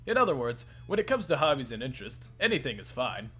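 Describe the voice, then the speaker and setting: A man reading a game's story aloud at a middle pitch, then breaking off to laugh and swear casually with his co-hosts. Faint music plays underneath. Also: sing-song, fast talker, fairly bright tone, slightly rough. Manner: laughs often, casual and profane between lines. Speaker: let's play host and narrator. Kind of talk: reading a visual novel aloud with commentary.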